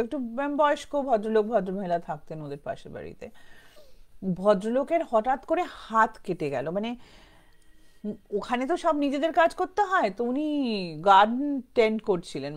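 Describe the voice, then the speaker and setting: A woman with a Bengali accent telling a ghost story informally, in a conversational, unscripted way, slipping English phrases into her speech. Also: a little high. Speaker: storyteller, show host.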